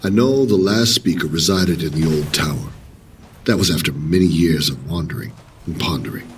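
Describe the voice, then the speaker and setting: A man playing a solemn veteran commander turned spiritual leader in an audio drama, speaking in a measured, formal, earnest way. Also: very deep voice, animated, far from the mic, a little hiss or hum. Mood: calm, welcoming.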